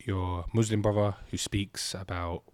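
A man's voice: low-pitched, flat delivery, normal speed, a little mellow, no echo. A man hosting a podcast interview, easygoing and encouraging. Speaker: podcast host.

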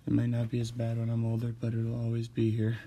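A man who stutters, talking hesitantly with many pauses and fillers as he hosts a personal monologue. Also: mid-range voice, completely flat delivery, dark tone, slight background noise. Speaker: host.

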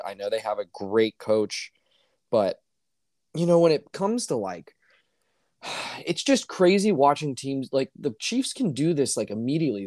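A man co-hosting a casual sports podcast, hoarse and ranting with exasperation, his voice climbing when worked up. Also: mid-range voice, darkish tone, no room sound, studio quality. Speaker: podcast co-host.

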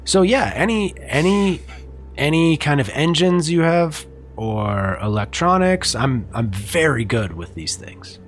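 A man's voice: fairly high pitch, expressive, unhurried, a little hiss or hum. A man voicing a boastful village mechanic who speaks broken English. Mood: eager.

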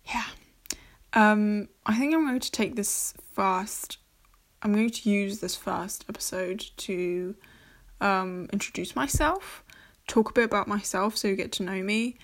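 A woman from Germany hosts a casual solo podcast, talking at an unhurried, slow pace. She sounds a bit nervous, rambling along with lots of fillers and restarts. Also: medium pitch. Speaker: podcast host.

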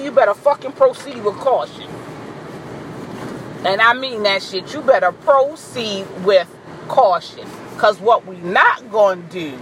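A woman with a deep voice giving a blunt, profane, boisterous vlog monologue, recorded in a car. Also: noisy background. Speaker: vlogger. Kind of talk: candid monologue to camera.

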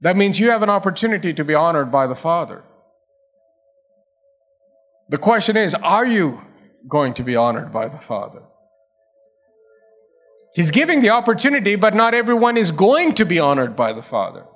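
High-voiced preaching man. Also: big pitch swings, normal speed, long pauses, fairly bright tone, soft background music. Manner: preaching with rising emphasis and repetition. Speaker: pastor. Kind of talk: sermon.

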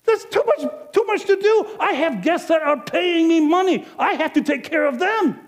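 A man performing a greedy, self-justifying innkeeper in a first-person monologue, swinging between loud bluster and hushed confession. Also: medium pitch, sing-song, moderate pace. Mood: flustered. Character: greedy self-justifying male Bethlehem innkeeper, middle-aged. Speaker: storyteller performing a character monologue.

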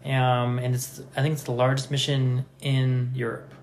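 Man in his thirties, podcast host, relaxed storyteller, mid-pitched voice, faint room hiss. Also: normal speed. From Nevada, USA. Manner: relaxed, anecdotal, self-deprecating. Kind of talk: casual conversation, storytelling.

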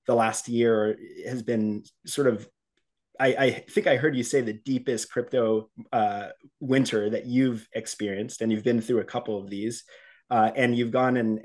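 A man's voice: fairly low pitch, a little mellow. A man interviewing a guest, reflecting on his own work experience before asking his questions.

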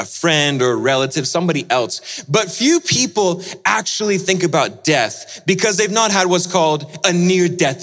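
A man delivering a sermon through personal storytelling. His voice sits a little high and moves widely in pitch. He leans into drama, rising with energy and sinking to near-hushed tones.

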